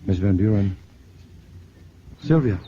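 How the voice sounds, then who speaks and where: An actor playing a steady, reassuring male scientist on the run from invaders in a 1950s radio drama, on an old broadcast recording. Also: lowish voice, slow pace, lo-fi sound, slight background noise. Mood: brisk, encouraging.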